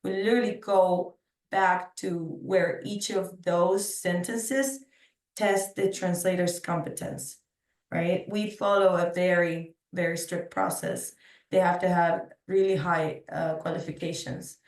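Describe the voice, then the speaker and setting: A woman's voice: on the low side, fairly even intonation, measured pace. A woman on a webinar panel, explaining earnestly as a translation expert and repeating words for emphasis. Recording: roomy echo, distant from mic.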